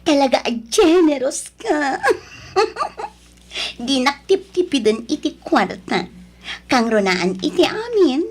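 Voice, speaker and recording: An actress playing a coquettish, devoted young woman in an Ilocano radio drama, with emotive, drawn-out delivery. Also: high voice, sing-song, exaggerated voice. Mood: coy, teasing. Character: young woman, coquettish with her lover, pleading and devoted daughter.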